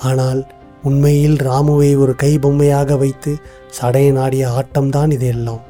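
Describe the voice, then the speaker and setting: Cartoon royal minister, male actor, shrewd and formal; very dark, mellow tone, flat deliberate delivery, music underneath. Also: mid-range voice, natural voice. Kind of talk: animated moral story dialogue.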